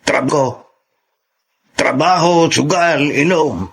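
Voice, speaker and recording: Older filipino uncle, gravelly and husky, heartfelt radio drama. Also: male voice, a little high, slow, fairly bright tone, exaggerated voice. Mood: earnest, moved. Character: tender, reformed older bachelor uncle, sincere guardian.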